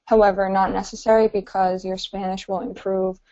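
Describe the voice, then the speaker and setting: An American woman in her twenties gives an informal introduction as a webinar panelist. Her pitch is average, and the audio is dry with a slightly muffled, lo-fi edge. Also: level tone, fairly quick, mellow, no room sound. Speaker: teaching program participant speaking as a webinar panelist. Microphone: online webinar call. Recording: dull, dry lo-fi call audio.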